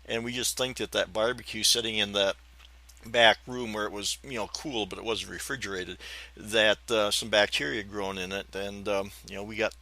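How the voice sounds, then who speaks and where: A man's voice: fairly low pitch, steady tone, moderate pace, sharp tone. An American man around fifty with a slight whistling lisp, telling personal memories in a folksy, rambling, hesitant way as a podcast host. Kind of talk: reminiscing personal storytelling.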